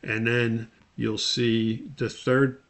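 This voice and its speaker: A man giving a methodical, step-by-step spoken tutorial as an instructor, with a creaky voice. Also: mid-range voice, little pitch movement, very slow, fairly bright tone. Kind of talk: spoken screen-share tutorial.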